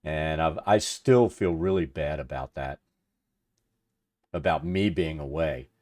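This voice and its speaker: A middle-aged man with a deep radio-like voice, chatting casually and thinking aloud as he hosts a livestream for his viewers. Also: measured pace, darkish tone. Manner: rambling, informal, thinking aloud. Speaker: livestream host. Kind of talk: casual chat with stream viewers while working.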